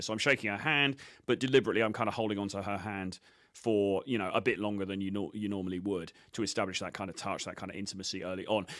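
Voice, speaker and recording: A man's voice: on the low side, fairly bright tone, no room sound, studio quality. A man giving informal coaching commentary on video clips, blunt, sweary and self-assured. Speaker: dating coach presenter.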